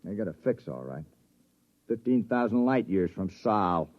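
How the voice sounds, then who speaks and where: A man's voice: fairly low pitch, big pitch swings, moderate pace. An actor playing a gloomy, fretful male spaceship crewman who grumbles in 1950s radio drama style. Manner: downbeat, grumbling. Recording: dull vintage radio recording.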